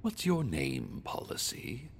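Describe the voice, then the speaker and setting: A man playing an imperious, elderly, gravel-voiced chairman of a corporate board in an audio drama, slow and commanding. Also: mid-range voice, wildly swooping pitch, long reverb tail, slight background noise, heavily acted. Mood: patronizing, coaxing.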